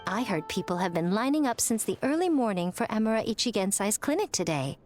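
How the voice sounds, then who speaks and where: A woman's voice: mid-range voice, very animated, a little fast, a little mellow, soft background music, close to an everyday voice. A woman voicing an elegant, sly shrine maiden in an anime-style game, arch and teasing with mock innocence. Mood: mock sympathy.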